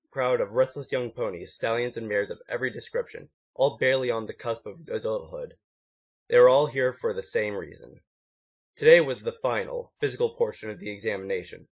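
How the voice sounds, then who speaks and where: Man, mid-pitched, amateur story narration, occasional slips, muffled low-fidelity recording. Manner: stumbles over words and corrects himself. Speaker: amateur fan fiction reader. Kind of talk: one-take story narration. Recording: dull lo-fi home recording.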